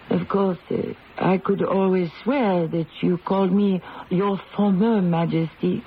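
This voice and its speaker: A woman's voice: fairly low pitch, fairly even intonation, takes it slowly, a little hiss or hum. An actress playing a proud, weary, sorrowful condemned French queen in a theatrical old-time radio drama style. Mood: resigned, gentle. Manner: theatrical, imperious, emotional. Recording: dull lo-fi radio, music behind.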